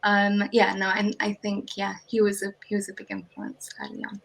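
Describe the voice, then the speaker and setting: A woman's voice: medium pitch, monotone, normal speed, vocal fry, fairly bright tone, rough recording. A young woman from Portugal, a guest curator, talking in a casual interview over a video call, hesitant and thoughtful with many fillers and restarts.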